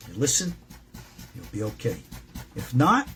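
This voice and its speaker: A man speaking as a rabbi in an informal religious lesson, preaching bluntly and emphatically with a gravelly voice and acting out little dialogues. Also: medium pitch, wildly swooping pitch, a little slow, a bit bright. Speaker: rabbi and teacher at a Torah school.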